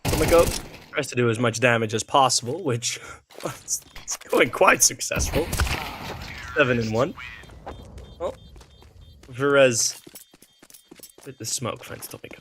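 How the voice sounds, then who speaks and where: A young man whose first language is Dutch, speaking with a Dutch accent as he gives relaxed play-by-play commentary, thinking aloud with many fillers and restarts. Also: medium pitch, measured pace, pauses often, background noise. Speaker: esports caster.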